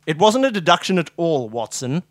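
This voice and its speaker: A man voicing a brisk, exasperated mouse detective in a comic story, matter-of-fact and commanding. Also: on the high side, big pitch swings, dry recording, clean studio sound, voice pushed up. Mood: exasperated, courteous.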